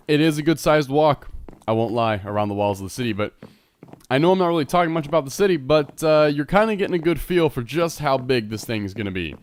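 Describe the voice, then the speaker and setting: Congested, rambling man narrating gameplay. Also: mid-range voice. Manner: rambling, self-correcting, chatty. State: congested, stuffed-up nose. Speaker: Minecraft server let's player. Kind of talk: casual gameplay commentary.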